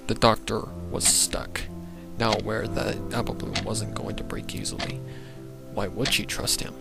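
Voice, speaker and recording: A man's voice: fairly low pitch, level tone, a little slow, gravelly. A man reading a scripted dramatic story as its narrator. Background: loud background music.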